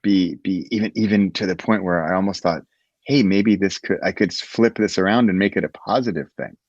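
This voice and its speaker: A very low-voiced American man talks through his past in a loose, rambling way, often restarting his sentences. His recording sounds dull and dry, with no room around it. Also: fairly quick, darkish tone, no room sound. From United States. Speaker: guest. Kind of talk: casual interview chat.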